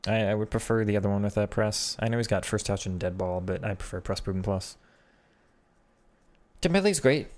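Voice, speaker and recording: Male streamer, lower register, loose and chatty, talks through tactics off the cuff. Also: a little fast, a little mellow. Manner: chatty, thinks aloud with frequent restarts. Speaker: streamer and game coach. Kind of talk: casual live-stream commentary reading chat.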